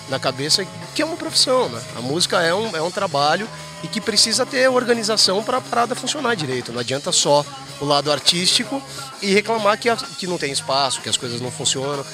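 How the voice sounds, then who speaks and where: A man's voice: on the high side, sing-song, fast, music in the background. A man in the band answering interview questions in an earnest, emphatic, practical way. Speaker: band member.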